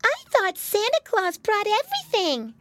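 A woman's voice: very high voice, wildly swooping pitch, a little slow, fairly bright tone, no room sound, pitched above the performer's own voice, exaggerated voice. A woman voicing a curious baby girl piglet with a childlike, questioning manner, for a storybook. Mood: puzzled.

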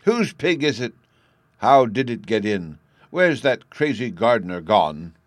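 A man voicing a haughty, shrill noblewoman who speaks imperiously. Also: animated, normal speed, no echo, clean studio sound, natural voice. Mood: alarmed.

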